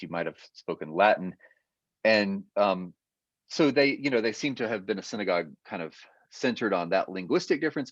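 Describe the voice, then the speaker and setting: Male lecturer, lower voice, thinking aloud with lots of fillers; dry, muffled video-call audio. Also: no room sound. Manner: explanatory, hesitant with frequent fillers. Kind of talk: adult education lecture. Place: remote session over video call.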